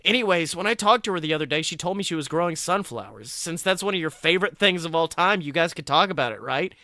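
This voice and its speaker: A man's voice: fairly high pitch, animated, quick pace, sharp tone, no echo, pitched above the performer's own voice. A man voicing an upbeat, friendly young man in a story, eager and persuasive. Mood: coaxing.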